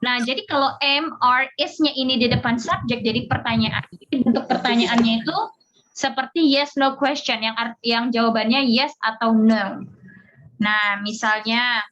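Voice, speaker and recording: A woman's voice: a little high, fairly even intonation, normal speed, a bit bright. A woman with an Indonesian accent teaching English grammar informally, explaining and repeating example sentences. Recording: dull audio with clear background noise.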